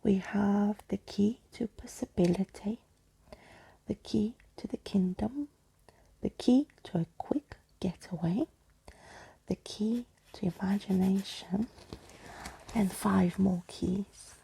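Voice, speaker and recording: Breathy, creaky soft-spoken ASMR woman. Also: medium pitch, poor audio. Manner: unhurried, intimate, addressing one listener. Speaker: ASMR storyteller reading a book aloud. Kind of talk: soft-spoken ASMR bedtime roleplay and reading.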